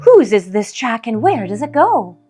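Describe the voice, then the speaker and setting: A woman's voice: fairly high pitch, very animated, moderate pace, warm tone, breathy edge, faint music behind. A woman voicing a curious, brave young monster child in a storybook, exclaiming and asking questions. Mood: excited.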